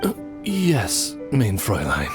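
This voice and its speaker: A man's voice: fairly low pitch, wildly swooping pitch, slow, over music, exaggerated voice. A man voicing a dignified, deferential raven familiar in an anime game, with formal butler-like courtesy. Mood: solicitous.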